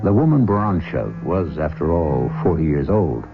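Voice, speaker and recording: A man hosting and narrating a scripted radio drama with measured, suspenseful storytelling. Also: very deep voice, sing-song. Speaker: host and narrator. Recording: tinny vintage radio broadcast, music underneath.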